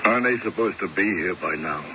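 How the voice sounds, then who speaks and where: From a dull old radio drama comes a cocky hired killer. His very low, gravelly voice swings freely up and down, blunt and folksy. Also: lively intonation, brisk. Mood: grumbling. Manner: tough, folksy, offhand. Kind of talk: 1970s radio drama acting. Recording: dull, noisy 1970s radio recording.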